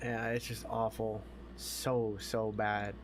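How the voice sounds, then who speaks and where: A man's voice: lowish voice, little pitch movement, takes it slowly, slight background noise. A man chatting casually about movies as a podcast co-host, emphatic, opinionated and given to swearing.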